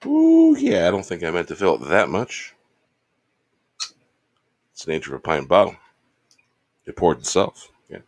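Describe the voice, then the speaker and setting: A man hosting his show in a laid-back, rambling casual chat, slightly hoarse from losing his voice. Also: low voice, wildly swooping pitch, moderate pace, long pauses, a little mellow, no echo. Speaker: show host. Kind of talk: casual chat while drinking.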